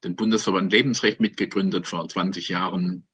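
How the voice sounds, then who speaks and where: A man's voice: low-pitched, fairly even intonation, brisk, fairly bright tone, slightly rough. A man in his seventies with a Swabian accent, introducing himself as a guest over a video call with dry humor. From Stuttgart, Germany. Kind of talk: relaxed self-introduction with dry jokes.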